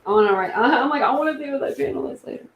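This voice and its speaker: A young woman hosts a casual livestream chat, rambling from thought to thought with plenty of fillers and restarts. Her voice carries a gravelly fry. The room sounds echoey, with faint noise underneath. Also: sits high, very fast, dull audio. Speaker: YouTube true crime channel host.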